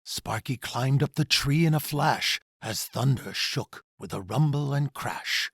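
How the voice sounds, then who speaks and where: A man reading his own rhyming children's storybook in a dramatic, expressive way. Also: mid-range voice, measured pace, husky, dry recording, clean studio sound.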